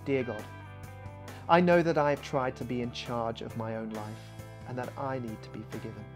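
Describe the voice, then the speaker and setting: Guy reading as a polite thoughtful detective in a kids story, measured and earnest, bit of music behind. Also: medium pitch, fairly even intonation, natural voice. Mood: earnest, prayerful. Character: Inspector Smart, thoughtful, polite male detective. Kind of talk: children's audiobook character reading.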